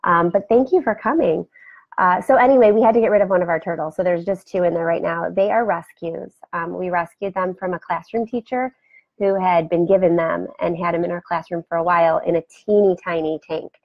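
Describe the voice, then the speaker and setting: A woman with a scratchy, gravelly voice, talking casually on a home live stream and greeting viewers as they arrive. Her voice sits fairly low, and the sound is dry and a little dull, like a webcam in a small room. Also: steady tone, a little fast, no room sound. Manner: chatty, rambling, greets viewers by name. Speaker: host. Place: home room beside a turtle aquarium. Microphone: webcam for a live video.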